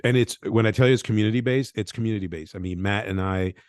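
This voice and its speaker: A man's voice: on the low side, quick pace, darkish tone, clear, resonant tone, no echo. A man from Venezuela, a game designer talking loosely in a podcast interview, often tagging thoughts with "right?". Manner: rambling, tag questions like "right?".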